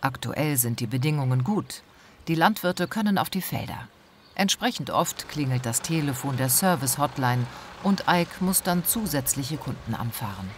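A woman narrates a television report in an even, informative voice. The read is measured and unhurried, with a steady hiss under it. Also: extremely low pitch. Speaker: documentary narrator. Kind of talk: scripted voice-over narration.

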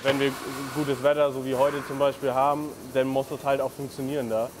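Young north male technician, monotone and easygoing, loud background noise. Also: medium pitch, a little fast. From northern Germany. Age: 20s. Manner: relaxed, flat, with fillers. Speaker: service technician. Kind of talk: interview. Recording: noisy outdoor location.

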